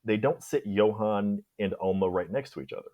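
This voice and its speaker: A man's voice: lowish voice, brisk, dark tone, dry recording. A man speaking as a podcast guest, discussing a film in a casual, conversational way with emphatic reactions. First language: English.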